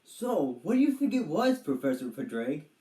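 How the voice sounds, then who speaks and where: A woman's voice: sits low, big pitch swings, moderate pace, mellow, roomy sound, distant mic, low-quality recording. A woman voicing a confident, chummy male storybook turtle with theatrical, old-fashioned explorer pomp. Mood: curious, chummy.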